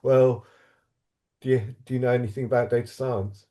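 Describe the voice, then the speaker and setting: A man's voice: mid-range voice, steady tone, moderate pace, mellow. A man from a university programme answering questions in a webinar, hesitant and full of fillers and restarts. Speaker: programme staff member.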